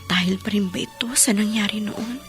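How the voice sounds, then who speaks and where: A woman playing a worried, insistent younger sister-in-law in a Filipino radio drama, earnest and hushed. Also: mid-range voice, fairly even intonation, a little fast, soft background music, close to an everyday voice. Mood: worried, pressing.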